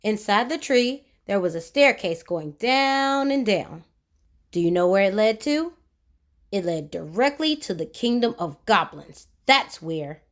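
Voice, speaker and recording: Woman, children's read-aloud, expressive narration, lively intonation, medium pitch, dramatic drawn-out emphasis. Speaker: storyteller.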